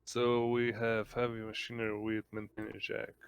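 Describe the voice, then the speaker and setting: A man's voice: on the low side, monotone, moderate pace, gravelly, clean tone. A man talking casually and bluntly as a player in an online tabletop game. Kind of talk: casual chat, blunt swearing.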